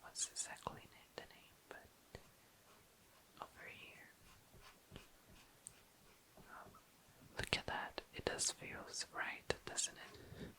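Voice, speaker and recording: An ASMR host speaking in a breathy, unvoiced whisper, softly commenting on a craft and soothingly repeating words. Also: slow pace, pauses often, rough recording. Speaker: ASMR video creator, host. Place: indoors at a desk.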